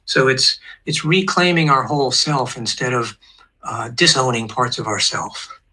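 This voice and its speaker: A man in his sixties, a therapist, calmly explaining psychological ideas as a guest on an online show. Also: medium pitch, unhurried, rough recording.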